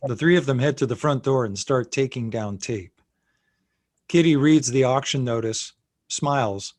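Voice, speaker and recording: A man with a mid-pitched voice reads stage directions from a screenplay. The sound is close and dry, and the delivery is scripted narration. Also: no echo.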